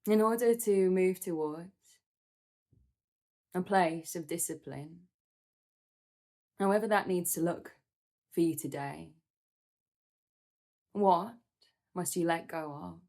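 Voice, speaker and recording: A woman leading a guided meditation in a soothing, gentle manner, with measured instructions and pauses. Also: fairly low pitch.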